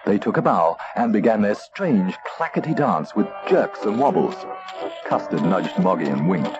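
A man reading a children's story as narrator in an expressive, theatrical way. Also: lowish voice, normal speed, loud music behind the voice. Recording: dull tape transfer, faint noise.

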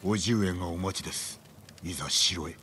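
A resolute samurai from a video game. He speaks in a fairly low, restrained and formal voice, earnest as a leader. Ambient noise is clear behind him. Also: normal speed, a bit bright. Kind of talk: dramatic game dialogue.

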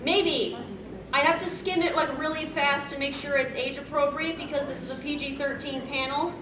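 Young woman, high voice, distant and echoey. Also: steady tone, normal speed, crowd noise. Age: young adult. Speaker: panel host. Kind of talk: casual unscripted panel talk with audience. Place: convention meeting room, on a stage. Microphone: distant camera microphone. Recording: distant, echoey, noisy camera recording.

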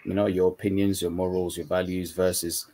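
A man from Uganda speaks in a very deep, low register over a video call. He sounds relaxed and conversational, leaning on "you know" as he explains his views. He is talking from a dim room at home. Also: brisk. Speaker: guest mentor. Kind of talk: casual panel discussion.

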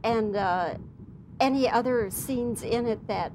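A woman interviewer in a hotel suite, asking friendly questions with warm chuckles and compliments. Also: a little high, slow, background noise.

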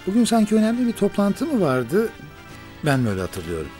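An actor playing a polite, casual executive in a TV drama. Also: male voice, sits high, huge pitch swings, fast, dark tone. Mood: polite, formal. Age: 40s. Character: polite, casual executive boss in his forties. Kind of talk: TV drama acting. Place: large office, on set. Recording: music under the dialogue.

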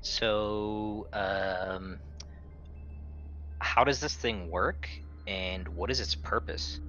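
A man with a lowish, forceful voice plays a tabletop game in a wry, casual way. The audio is a bit dull, with faint music behind. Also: extremely slow. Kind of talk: casual chat.

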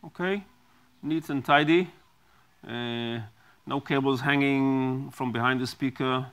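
A middle-aged man walks through a product demonstration at an unhurried, deliberate pace, pausing often with ums and restarting his phrases. His pitch sits in an ordinary middle range. He is recorded close on a lapel mic in a small studio. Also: fairly even intonation, a bit bright, clean tone. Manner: slow, halting, many ums and restarts.

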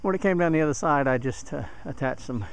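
A man's voice: on the high side, fast talker. A man giving a casual, plain-spoken hands-on tutorial as a hobbyist demonstrator, recorded outdoors on a handheld camera. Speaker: DIY radio hobbyist demonstrator. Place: outdoors in a yard. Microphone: handheld camera mic. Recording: outdoor handheld camera, clear background noise.

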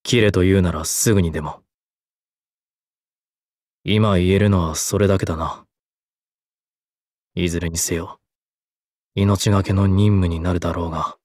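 A man's voice: low voice, steady tone, unhurried, pauses often, darkish tone, dry recording, studio quality. An actor playing a cold, dutiful young swordsman in a game, speaking in a terse, restrained, matter-of-fact way. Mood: stoic, restrained. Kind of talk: Japanese game character voice lines.

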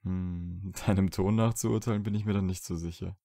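A man's voice: sits low, steady tone, fairly quick, vocal fry, mellow, no room sound, clean studio sound. A man playing a troubled, dryly teasing young wizard in an audio drama. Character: troubled young wizard, dry and teasing, trying to quit drinking. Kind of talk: fan fiction audio drama dialogue.

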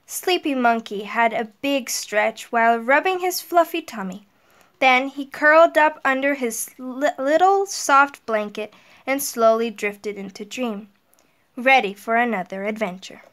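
High-voiced middle-aged woman, slow and simple delivery for children, school counselor style. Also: fairly bright tone. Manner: deliberate, simple explaining to children. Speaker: elementary guidance counselor. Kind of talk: children's storybook read-aloud and talk to pupils. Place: indoors, small room at home. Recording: roomy echo, distant mic.

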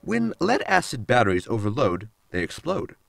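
A man's voice: medium pitch, huge pitch swings, normal speed, creaky, a bit bright, no room sound, heavily acted. A man playing an earnest, pedantic android engineer in a sci-fi sitcom audio drama, formal and lecturing.

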